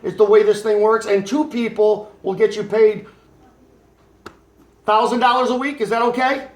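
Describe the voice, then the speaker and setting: Middle-aged guy doing a salesy pitch, asks the room questions, high-ish voice, echoey. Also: steady tone, normal speed. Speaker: presenter introducing his son. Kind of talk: motivational pitch to a home meeting.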